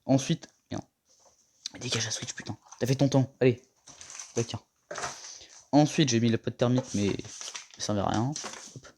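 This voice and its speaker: A young man giving a casual tour of his gaming setup, rambling and slangy, a little hoarse from a cold. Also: mid-range voice, normal speed, long pauses, a little mellow, slight background noise. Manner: rambling, slangy and self-mocking. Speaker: gaming vlogger.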